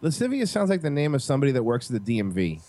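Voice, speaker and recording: A man's voice: mid-range voice, huge pitch swings, racing, warm tone. Blunt, profane male podcast host. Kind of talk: casual chat.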